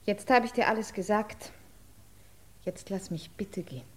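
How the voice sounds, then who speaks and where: An actress playing an anguished woman in a 1950s radio drama, speaking in emotive, deliberate phrases. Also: medium pitch, breathy edge, lightly acted. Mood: subdued, remorseful. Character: anguished woman confessing lost love, turning desperate.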